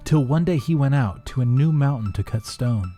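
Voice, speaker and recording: A man narrating a rhyming folk tale as a storyteller, theatrical and expressive. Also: mid-range voice, normal speed, soft background music.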